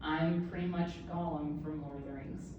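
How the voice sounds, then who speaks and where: Woman in her thirties, lowish voice, candid self-mocking speech, very echoey room, distant mic. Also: fairly even intonation, normal speed, highs cut off, background noise. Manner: candid, self-deprecating humor. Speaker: author giving a speech. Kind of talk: personal speech to an audience. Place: event room with an audience.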